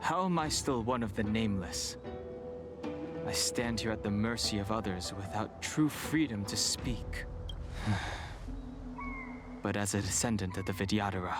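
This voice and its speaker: A man's voice: lowish voice, unhurried, long pauses, lightly acted. A man voicing a reserved dragon-blooded youth. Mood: rueful. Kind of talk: video game voice acting. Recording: game audio over music.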